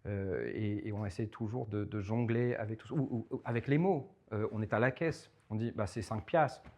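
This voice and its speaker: A man's voice: on the low side, normal speed, a little mellow. Hesitant man lecturing. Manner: hesitant, many repeated words and fillers. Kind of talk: public lecture with audience questions.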